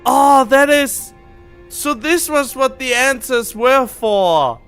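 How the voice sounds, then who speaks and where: A woman's voice: sits high, takes it slowly, fairly bright tone, smooth, faint music behind. A woman streaming a game, reacting casually and informally while thinking aloud and questioning herself.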